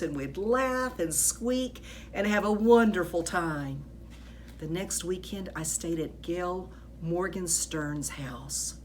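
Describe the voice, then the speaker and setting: A woman reading a children's story aloud in an expressive, deliberate, dramatic way. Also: fairly low pitch, slight background noise. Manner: dramatic, deliberate phrasing, drops into whispers. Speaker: school staff reader of children's books.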